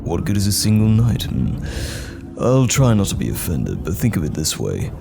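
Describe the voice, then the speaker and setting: An extremely deep-voiced knight general, acted by a man, with heavy gravel in the throat. He is a steadfast protector who speaks with measured command and reassurance. It is a fantasy audio drama, with loud music playing behind him. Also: huge pitch swings, full character voice. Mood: composed, firm. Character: steadfast male knight general, calm protector of common folk. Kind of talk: fantasy audio roleplay addressed to the listener. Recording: background music under the voice.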